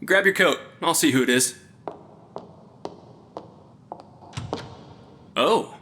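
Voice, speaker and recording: Young male actor as a jittery, wry supernatural sleuth; bright tone, quippy and faintly pompous, comedy audio drama. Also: fairly high pitch, moderate pace. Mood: wry, intrigued. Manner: dry, quippy, slightly pompous. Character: wry, nerdy, jittery man investigating the supernatural. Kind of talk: audio drama acting, naturalistic comedic banter.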